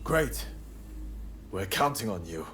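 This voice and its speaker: Animated, earnest rebel leader. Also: male voice, on the low side, takes it slowly, noisy background. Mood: stern, commanding. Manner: commanding, purposeful, formal. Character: earnest, scheming young male revolutionary leader. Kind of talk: video game cutscene acting.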